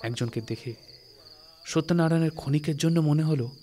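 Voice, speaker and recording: A man narrating a literary story in a dramatic, suspenseful way, with expressive phrasing and deliberate pauses. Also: fairly high pitch, a little hiss or hum. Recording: studio recording with music. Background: music and night insect sounds.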